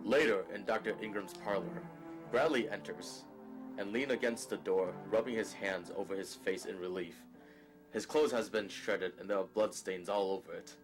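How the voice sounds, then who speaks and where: A man's voice: on the low side, normal speed, over music. A man narrating an audio drama, reading scripted stage action in the present tense.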